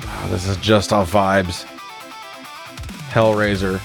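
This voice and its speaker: Low-voiced man, casual sweary co-host. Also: flat delivery, slow, creaky, music in the background. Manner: sweary, informal. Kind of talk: casual banter and quizzing about movies and games.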